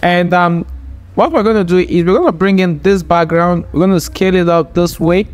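A man with a high voice leads a software tutorial. He explains things step by step in an informal way, punctuating the instructions with small check-ins. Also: moderate pace. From Nigeria. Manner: informal, step-by-step, often says "all right". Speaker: tutorial host. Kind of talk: software tutorial, talking through steps. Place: voice-over for a screen recording.

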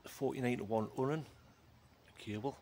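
A man's voice: mid-range voice, steady tone, moderate pace, darkish tone. Casual man, noisy recording. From North East England. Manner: informal, off-the-cuff commentary. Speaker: amateur radio hobbyist demonstrating equipment. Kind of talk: casual hands-on video demonstration. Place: outdoors in a yard, then a small living room. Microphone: handheld phone camera behind the view.

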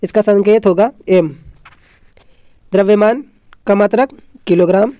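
Guy teacher with a high but mellow voice, reading notes and repeating stuff, dull roomy recording. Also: a little slow. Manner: didactic, repeats points and asks then answers own questions. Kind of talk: exam lesson read from notes.

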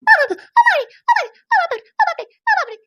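Piercingly high, quarrelsome cartoon wife. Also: sing-song, fast talker, very noisy. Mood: wailing in pain. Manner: screechy, accusing. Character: shrill, quarrelsome, defiant young wife. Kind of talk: cartoon comedy dubbing.

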